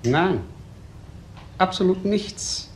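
A man's voice: sits high, lively intonation, extremely slow, slight background noise, exaggerated voice. Polite man, echoey room. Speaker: actor in a crime drama. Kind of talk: German crime drama acting.

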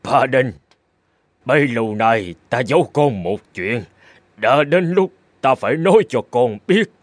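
A man playing a burly old fisherman father, anxious and regretful, in an animated fairy tale. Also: mid-range voice, measured pace. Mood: grave, regretful.

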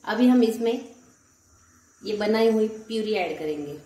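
A woman in her forties narrating a home cooking recipe step by step, calmly listing ingredients one at a time. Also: fairly high pitch, unhurried. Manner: calm, lists ingredients one by one. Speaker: cooking channel host. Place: home kitchen, echoey. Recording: echoey room with faint kitchen noise.

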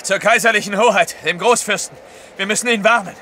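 An actor playing a resolute young-spirited imperial courier in an audio drama, earnest and dutiful. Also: male voice, high voice, fairly quick, bright, forceful tone, slight background noise. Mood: urgent. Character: resolute heroic male courier of the Tsar. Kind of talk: German audio drama acting.

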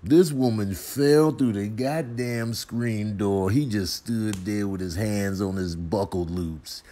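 A man's voice: lowish voice, slow pace, warm tone. A man doing comic voiceover commentary in a slangy, colourful, incredulous way. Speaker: comedy voiceover host. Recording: voiceover with faint background noise.

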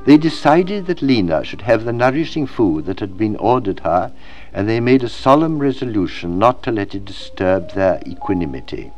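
A man reading literary fiction aloud as scripted narration, in a measured, dramatic storytelling manner. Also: fairly low pitch, animated, darkish tone, faint music behind. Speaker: audiobook reader.

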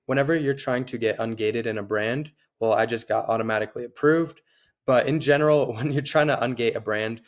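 A young man, slightly hoarse from a cold, talks at a middle pitch into a desk webcam mic in a small room. His delivery is casual and upbeat, with easy fillers as he walks through a screen-share tutorial. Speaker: online seller and tutorial host.

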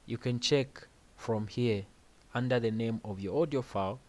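Man calmly walking through a software tutorial. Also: medium pitch, moderate pace. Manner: plain step-by-step explaining with casual asides. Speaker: music production tutorial host. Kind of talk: screen-recorded software tutorial.

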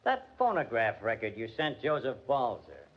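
An actor playing a gruff, bullying police detective in 1940s radio style, brusque and slangy. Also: male voice, mid-range voice, wildly swooping pitch, moderate pace. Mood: suspicious, curt. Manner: brusque, slangy tough-guy talk. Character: gruff, bullying, impatient police detective who hates music. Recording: muffled, hissy 1940s radio recording.

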